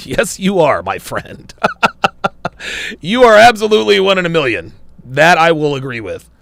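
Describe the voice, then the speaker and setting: A man's voice: on the high side, very animated, unhurried, vocal fry, pauses often, a bit bright, no echo. A man hosting a casual livestream, reading viewer comments aloud, laughing often and sounding wry, a little strained as he loses his voice. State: losing his voice. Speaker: livestream host reading super chats.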